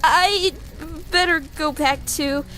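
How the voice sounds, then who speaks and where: A woman voicing a nervous, geeky female monster scientist in a comic dub, with a halting, stammering delivery. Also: high voice, pushed and bright, faint music behind, exaggerated voice. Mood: nervous, hesitant.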